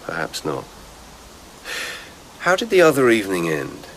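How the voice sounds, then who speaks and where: An actor playing a probing lieutenant investigating a death in a radio drama, speaking directly and pressing with questions. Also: male voice, sits low, huge pitch swings, unhurried, fairly bright tone, slight background noise, heavily acted, low-quality recording. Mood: probing, serious.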